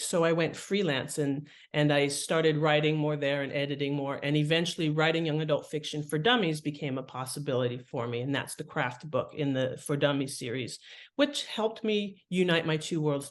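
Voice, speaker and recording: A middle-aged American woman speaking as an interview guest, conversational and reflective, often pausing to rephrase as she explains. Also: sits low. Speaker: podcast guest, author and editor.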